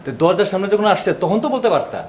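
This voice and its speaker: An actor playing a genial, talkative, curious husband hosting a guest in a TV drama, with a Bangladeshi Bengali accent and a familiar manner. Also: male voice, high voice, fast, slight background noise. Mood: surprised, curious. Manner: animated, inquisitive, familiar. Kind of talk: TV drama dialogue. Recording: echoey room, music underneath.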